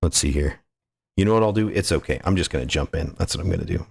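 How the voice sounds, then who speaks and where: A man's voice: rumbling low, animated, fast, creaky, a little mellow, breathy edge. A man livestreaming a game, chatting casually and playfully with his viewers, effusive and prone to repeating himself. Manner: effusive, playful, repeats phrases, goofy asides. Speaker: livestreamer playing a game and greeting chat.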